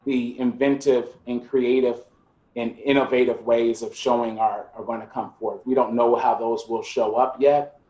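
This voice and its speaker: A man's voice: medium pitch, flat delivery, mellow, lo-fi sound. Reflective man in an echoey room. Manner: reflective, measured, builds his points step by step. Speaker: curator panelist. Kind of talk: panel discussion on a video call. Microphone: video call. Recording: echoey room on a video call.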